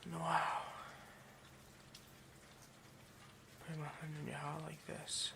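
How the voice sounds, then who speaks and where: A man playing an obsessive, hungry vampire in an intimate ASMR roleplay, murmuring haltingly and often slipping toward a whisper. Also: a little high, completely flat delivery, gravelly, background noise. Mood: rapturous, hungry. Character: obsessive, hungry male vampire, possessive and seductive.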